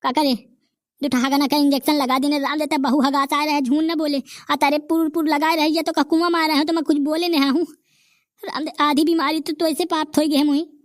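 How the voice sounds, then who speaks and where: A boastful old village grandfather, voiced high and bright by a woman, ranting in a thick Bundelkhandi accent. He brags and grumbles in rustic dialect. The recording is close and dry, with no room sound. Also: little pitch movement, brisk, no room sound, lightly acted. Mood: grumbling. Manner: bragging, rustic dialect rants. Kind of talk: cartoon comedy voice acting.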